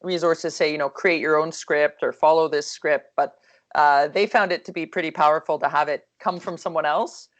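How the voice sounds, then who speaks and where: A woman hockey coach chatting casually on a video call, with a calm, soothing voice and lots of fillers and restarts. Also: low voice, normal speed.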